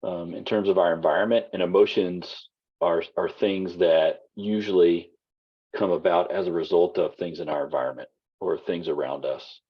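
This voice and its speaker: A man teaching an online class in a loose, rambling way with lots of fillers, his voice husky and giving out. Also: sits low, steady tone, normal speed, muffled recording. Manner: casual, rambling, many fillers and restarts. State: losing his voice. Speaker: college instructor.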